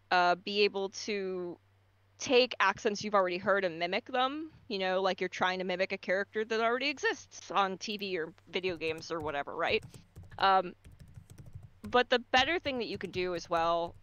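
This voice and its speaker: A woman's voice: medium pitch, fairly bright tone, smooth, dry recording, lo-fi sound. Dry-sounding woman giving voice acting advice. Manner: explains at length, with frequent ums and stammered restarts. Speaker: voice actor guest. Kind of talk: casual advice chat on a livestream.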